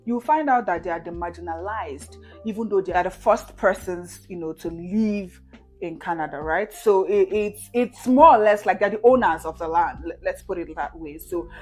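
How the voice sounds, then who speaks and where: A woman's voice: on the low side, moderate pace, darkish tone, faint music behind. A woman in her twenties from Nigeria, chatting casually to camera as she answers viewer questions, with frequent "you know" and "right?" and easy laughs. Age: 20s.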